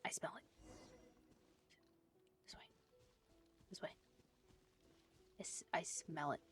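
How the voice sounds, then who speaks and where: A woman's voice: slow, a bit bright, whispered, faint music behind. A woman livestreaming a game with casual commentary, making chatty asides to viewers and repeating short phrases. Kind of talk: casual live gameplay commentary.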